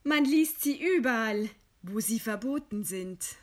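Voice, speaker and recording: An actress playing a proud, composed dissident writer in a German radio play, speaking with measured, scornful eloquence. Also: female voice, a little high, lively intonation. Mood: guarded, composed. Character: condemned dissident woman writer, widowed, proud, defiant, composed.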